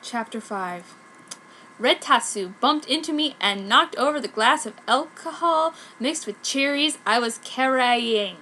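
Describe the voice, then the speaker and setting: A woman's voice: on the high side, expressive, a little slow, sharp tone, a little hiss or hum. A woman reading fan fiction aloud as an amateur, with a theatrical, exaggerated delivery. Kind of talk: dramatic amateur story reading.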